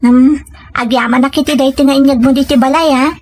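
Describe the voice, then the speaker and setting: A woman acting as a dutiful Filipino daughter still in her studies, in an audio drama. Her voice is notably high, and her manner is modest, polite and grateful. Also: fairly even intonation, a little fast, darkish tone, lightly acted. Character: dutiful young female student, grateful daughter.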